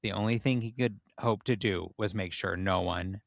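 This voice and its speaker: Low-voiced man, playful and theatrical. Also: normal speed, vocal fry, dry recording, clean studio sound. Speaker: podcast co-host. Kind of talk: casual chat and dramatic reading of a comic erotic story.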